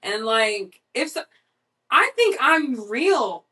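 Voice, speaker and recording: A woman in her twenties co-hosting a casual podcast, talking bluntly and candidly in a fairly even tone. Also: a little high, slow, far from the mic. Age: 20s. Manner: blunt, candid, says she sounds monotone. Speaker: podcast co-host. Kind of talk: casual chat.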